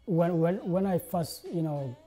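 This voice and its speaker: A man's voice: fairly high pitch, measured pace, dark tone, soft background music. A young man tells his story to the camera in an earnest way, using many "uh" and "like" fillers. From South Sudan. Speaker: interviewee, game designer. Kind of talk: interview monologue to camera. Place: indoor studio with plain backdrop.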